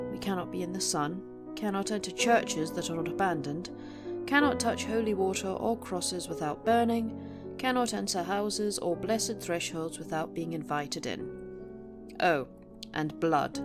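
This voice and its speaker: A woman playing an imperious, centuries-old but young-looking female vampire, speaking in a formal, measured, haughty way in an audio roleplay. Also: fairly low pitch. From England. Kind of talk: audio roleplay monologue to the listener. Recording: music under the voice. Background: plucked guitar music.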